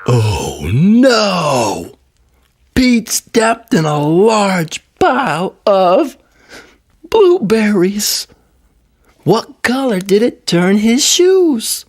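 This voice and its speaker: Very slow, expressive woman reading aloud. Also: mid-range voice, breathy edge. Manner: drawn-out, expressive delivery. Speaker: story reader. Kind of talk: read-aloud children's story with questions to young listeners.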